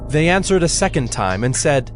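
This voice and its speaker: A man narrating a scripted, dramatized scripture reading in a measured, solemn manner. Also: a little high, sing-song. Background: instrumental music.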